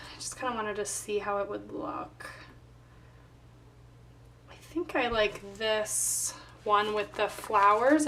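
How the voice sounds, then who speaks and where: A woman with a slightly hoarse voice, casually narrating her craft work and thinking aloud as she goes. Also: on the high side, bright, forceful tone, recorded from a distance, slight background noise. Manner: unhurried thinking aloud while working. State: slightly hoarse, voice not recovered. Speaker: planner vlogger.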